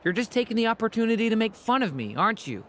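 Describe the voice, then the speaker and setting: A woman voicing a composed, gently teasing young woman in a fantasy video game, speaking in a measured, knowing way. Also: on the high side, big pitch swings, slight background noise. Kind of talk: video game dialogue acting.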